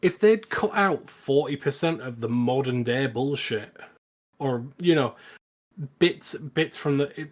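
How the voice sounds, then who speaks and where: A man's voice: mid-range voice, lively intonation, slow pace. A man co-hosting a podcast, talking casually and with strong opinions about films, with some swearing. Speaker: podcast co-host. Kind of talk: casual film discussion and recap.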